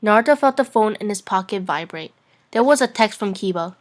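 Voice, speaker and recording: A woman's voice: medium pitch, expressive, fairly quick. Young woman reading fan fiction aloud. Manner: playful, stumbling over lines, giggly. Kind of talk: amateur dramatic reading of a story.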